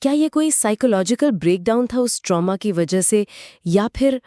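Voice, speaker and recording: A woman co-hosting a horror story show, giving conversational commentary with expressive questions and reflective remarks. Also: mid-range voice, moderate pace, no echo, studio quality. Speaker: co-host reacting to stories.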